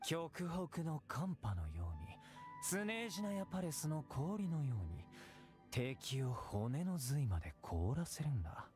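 A man playing a commanding young military officer in an anime game, with measured, theatrical speechmaking. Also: on the high side, sing-song, darkish tone. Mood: solemn, commanding. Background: game music.

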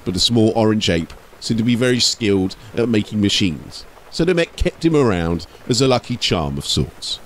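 A man with a lowish voice delivers scripted narration in the role of an audiobook storyteller. His reading is that of a channel host presenting a tale. There is slight noise behind his voice. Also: lively intonation, moderate pace, few pauses.

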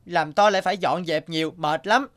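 A man voicing a cocky, argumentative young youngest brother in an animated folk tale, with a dismissive manner. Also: on the high side, fairly quick, natural voice.

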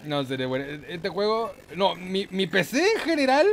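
A man's voice: high voice, huge pitch swings, normal speed, slight background noise. A man giving casual, slangy, mock-boastful gaming commentary with some swearing. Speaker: gameplay host.

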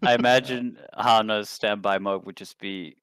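A man's voice: lowish voice, fairly even intonation, moderate pace, a bit bright, clear, resonant tone, no room sound. A man chatting casually and jokingly as a player in a tabletop game.

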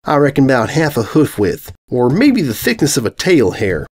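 A folksy mare played by a man, dry and needling. The storybook cartoon delivery teases with lively turns of pitch. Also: normal speed, slightly rough. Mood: teasing. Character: dry, teasing female orange farm pony.

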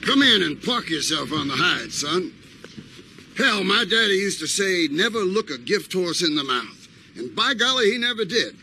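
High, brash Texan tycoon. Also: male voice, very animated, moderate pace, sharp tone, slight background noise, heavily acted. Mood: hearty. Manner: drawling, folksy, boisterous. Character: brash folksy cowboy-hatted property tycoon, hearty and scheming. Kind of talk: video game cutscene acting.

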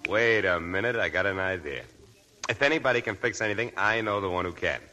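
An actor playing a breezy, hard-drinking, talkative nightclub press agent, glib and slangy, in a 1940s radio drama. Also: male voice, fairly low pitch, a little fast, sharp tone. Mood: teasing, tipsy. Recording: 1940s radio broadcast with music.

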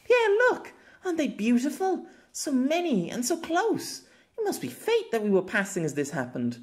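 A man's voice: very high voice, wildly swooping pitch, normal speed, voice pushed up, heavily acted. A man voicing a teasing, giggly young lady with quaint, formal phrasing in an amateur audiobook. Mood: delighted. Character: giggly, teasing, old-fashioned young lady, easily delighted.

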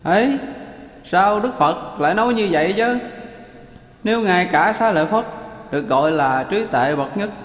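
A man playing a baffled, worried lay follower, exclaiming and complaining in an animated religious drama. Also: high-pitched, moderate pace, long pauses. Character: baffled, worried layman in the crowd. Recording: reverberant hall echo, slightly dull sound.

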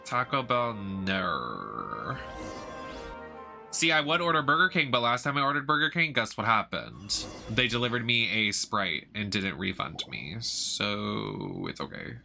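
A young adult streamer chatting casually over gameplay, deadpan and sassy. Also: mid-range voice, measured pace, creaky, soft background music. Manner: deadpan, sassy, idle musing, casual swearing. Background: game sound.

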